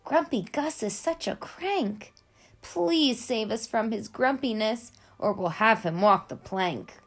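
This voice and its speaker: A woman's voice: fairly high pitch, expressive, slow pace, soft background music, heavily acted. A woman voicing a fed-up crew of pirates in a children's storybook, speaking emphatically. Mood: exasperated, pleading.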